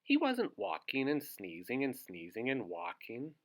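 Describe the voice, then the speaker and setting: A man's voice: medium pitch, huge pitch swings, unhurried. A man hosting a read-aloud for young children, narrating theatrically and putting questions to his young listeners.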